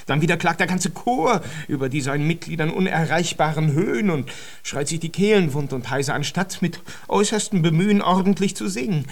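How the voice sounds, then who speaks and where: An actor in a German radio drama playing a flustered, fretful conductor and concert organizer, speaking in a wordy, formal, theatrical way. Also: male voice, a little high, heavily acted. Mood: harried, exasperated.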